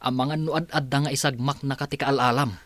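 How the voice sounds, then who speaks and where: A man's voice: mid-range voice, steady tone, fast talker, fairly bright tone, no echo, close to an everyday voice. A man with an Ilocano accent playing an anxious, exasperated company executive in a radio drama. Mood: worried.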